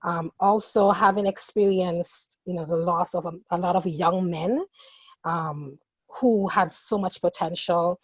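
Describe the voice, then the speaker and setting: Husky-voiced woman on a muffled call. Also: fairly low pitch, unhurried, no echo. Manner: passionate, grows quiet and heavy on loss. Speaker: graduate student panelist. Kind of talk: earnest personal account. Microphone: video call. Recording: dull lo-fi video call audio.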